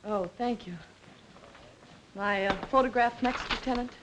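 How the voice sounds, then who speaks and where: A woman's voice: on the high side, fairly even intonation, measured pace, lightly acted. A woman playing a poised, guarded young politician's daughter in a 1940s crime film, reserved and coolly polite. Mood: cool, composed. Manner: reserved, soft-spoken, composed. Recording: hissy old 1940s film soundtrack.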